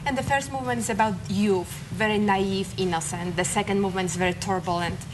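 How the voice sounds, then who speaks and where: A woman calmly explains music on a TV show. Her voice sits mid-range, and steady broadcast noise runs under her words. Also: medium pitch, fast. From Poland. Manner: explains calmly, slightly non-native phrasing. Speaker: pianist, guest. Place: TV studio.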